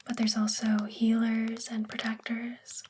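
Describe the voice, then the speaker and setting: A woman playing a sweet, gentle, self-doubting witch librarian in a soft-spoken, confiding ASMR roleplay with a breathy, whispery voice. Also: a little high, completely flat delivery, measured pace, slight background noise, lightly acted. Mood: wistful, explaining.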